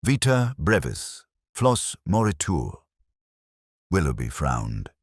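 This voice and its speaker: A man with a very deep voice narrates a first-person detective story. His delivery is measured and melancholy, with lively movement in pitch. The sound is dry, with no room around it. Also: slightly rough, no room sound. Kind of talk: first-person scripted literary mystery narration.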